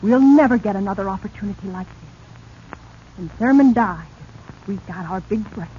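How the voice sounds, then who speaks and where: Casting: actress as ambitious, nagging wife, ruthless and insistent, mid-pitched, muffled vintage radio drama. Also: female voice, animated, moderate pace, dark tone, natural voice. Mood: insistent goading. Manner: insistent, urgent, goading. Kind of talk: 1940s radio acting. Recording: muffled, noisy 1940s radio recording.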